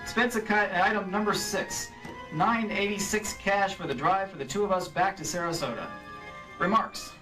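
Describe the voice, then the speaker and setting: A man playing a brisk, level-headed insurance investigator who acts and narrates in an old-time radio drama. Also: sits high, sharp tone, faint music behind. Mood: dry, wrapping up. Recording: distant live stage recording, faint noise.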